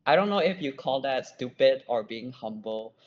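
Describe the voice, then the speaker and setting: A man's voice: medium pitch, animated, normal speed, muffled recording. A young man with a Burmese accent answering as a student guest in a casual podcast interview, self-deprecating and hesitant. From Myanmar. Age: young adult.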